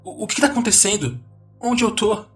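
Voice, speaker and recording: Guy doing a scared captive man, high panicky voice, stammering and begging, horror audio story with music. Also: lively intonation, a little fast. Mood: terrified pleading. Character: terrified weeping man held captive, pleading and confused.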